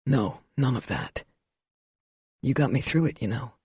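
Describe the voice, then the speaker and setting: A woman voicing a gruff young father just out of prison, speaking low and subdued in a husky voice. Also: lively intonation, a little slow, darkish tone, dry recording, clean studio sound, full character voice. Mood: tender. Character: gruff young adult man, ex-convict father. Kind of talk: audiobook character voice.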